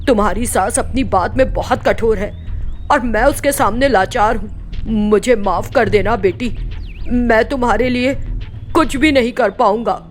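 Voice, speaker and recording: A woman's voice: fairly high pitch, measured pace, a little hiss or hum, natural voice. A woman voicing a kindly, henpecked older father-in-law, resigned and apologetic. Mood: apologetic, helpless.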